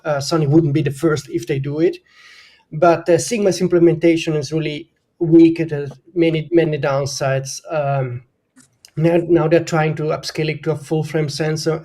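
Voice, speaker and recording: A man with a German accent talks through camera sensor tech as a panel guest. His voice sits slightly high and sounds mellow. He pauses often and fills the gaps with ums and uhs. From northern Italy. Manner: halting, many fillers, technical. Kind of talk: live stream panel talk.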